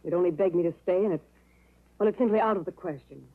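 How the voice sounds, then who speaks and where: An actress playing a cool, coy nurse in a 1940s radio noir, with a poised, knowing, teasing manner. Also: female voice, lowish voice, fast. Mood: coy, wistful. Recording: noisy narrow-band 1940s radio recording.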